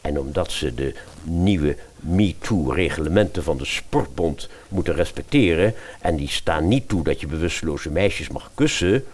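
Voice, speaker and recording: A man's voice: a bass voice, expressive, measured pace, darkish tone. A man narrating a radio fairy tale in a dramatic storytelling style.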